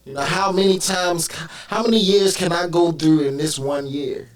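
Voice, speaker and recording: A man co-hosting a talk show in casual chat, relaxed and loose, cursing now and then. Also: fairly high pitch, normal speed.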